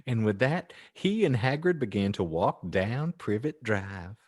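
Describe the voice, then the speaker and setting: A male podcast host narrates fan fiction like a mock-earnest audiobook. He takes his time, swings his pitch around theatrically and slips into jokey casual asides. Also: big pitch swings, measured pace, a little mellow. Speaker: podcast host and story reader.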